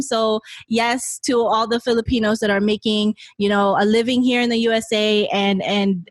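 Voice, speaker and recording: A woman's voice: on the high side, no echo. A woman in her thirties hosting a podcast in casual chat, talking in a chatty way with lots of "you know" fillers, recorded in a bedroom at a friend's home. She sits among friends as she talks. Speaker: podcast host.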